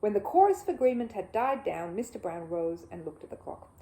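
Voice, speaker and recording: A woman narrating a children's story as an animated audiobook reader. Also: medium pitch, a little fast, poor audio.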